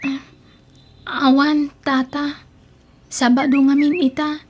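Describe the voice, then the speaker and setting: A woman's voice: high voice, level tone, slow pace. A woman playing a timid, obedient young schoolgirl daughter in an audio drama, hesitant in her short replies. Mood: shy, hesitant.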